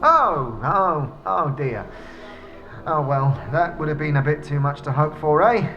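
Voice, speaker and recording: A man's voice: fairly high pitch, animated, unhurried, lo-fi sound, soft background music, pitched above the performer's own voice. A man voicing a cheerful, eccentric little bodiless fellow in a box for a fantasy game audio drama, with chirpy, old-fashioned politeness. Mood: chatty.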